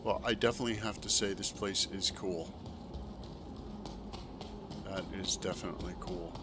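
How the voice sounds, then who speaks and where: Low-voiced man commenting casually on a game. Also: moderate pace, very noisy. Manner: musing aloud, thinking through questions. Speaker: video game streamer.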